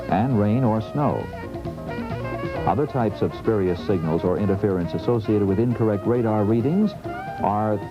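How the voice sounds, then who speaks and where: A man reading scripted instructional narration in a formal, measured way, on a dull old training tape. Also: lowish voice. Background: clear background music.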